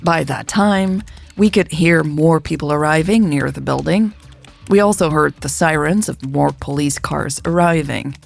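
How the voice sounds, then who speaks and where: Very creaky, formal woman police officer acted. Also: deep voice, unhurried, soft background music, full character voice. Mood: matter-of-fact. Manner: formal, factual, detached. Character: formal police officer giving an incident report. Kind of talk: scripted narration of a report.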